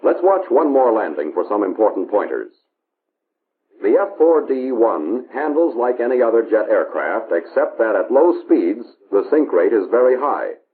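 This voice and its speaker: An American man narrating a 1950s instructional film in a measured, authoritative, instructional manner, on an old film soundtrack. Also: lowish voice, big pitch swings. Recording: tinny band-limited 1950s film soundtrack, hiss.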